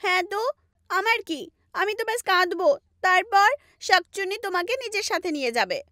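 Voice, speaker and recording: A woman's voice: very high voice, animated, fairly quick, dry recording, studio quality, heavily acted. A woman voicing a sulky, manipulative young wife with a whiny, wheedling delivery in a cartoon story. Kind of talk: animated story dubbing. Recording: loud music bed under voice.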